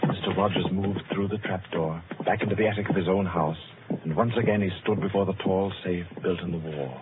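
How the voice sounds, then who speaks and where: A man narrating an old-time radio suspense story with deliberate phrasing and dramatic pauses, on a muffled recording. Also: deep voice, steady tone, music in the background.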